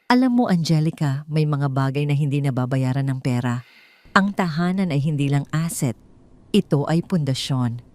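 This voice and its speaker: A woman voicing a betrayed wife in an audio drama, controlled and guarded, speaking in a restrained, pointed, measured way. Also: sits low, expressive, darkish tone, dry recording. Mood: pointed, firm.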